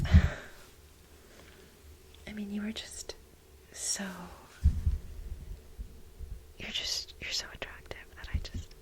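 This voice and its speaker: A woman playing an infatuated, jealous young research assistant to her boss in an ASMR role play, breathy, halting and gushing. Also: mid-range voice, darkish tone, close to an everyday voice. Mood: flustered, lovestruck. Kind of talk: soft-spoken whispered ASMR role play.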